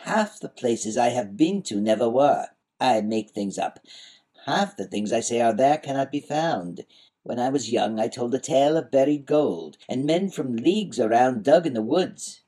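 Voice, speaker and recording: A man's voice: mid-range voice, lively intonation, moderate pace, mellow, close to an everyday voice, rough recording. A man voicing a whimsical, proud, absent-minded little old magical helper in a storybook, with a quirky, emphatic way of talking. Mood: muddled, confiding.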